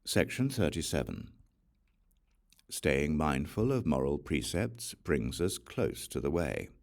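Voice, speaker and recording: Very deep, gravelly male scripture narrator. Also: very animated, slow pace, darkish tone. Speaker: audiobook narrator reading a Buddhist sutra.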